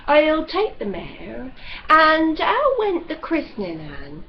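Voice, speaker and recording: Casting: woman reader playing a bossy West Country housekeeper, loud and gossipy, high animated pitch, storybook character. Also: slow pace, heavily acted. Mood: nosy, brash. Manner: rustic, loud, complaining. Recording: dull lo-fi audio with light noise.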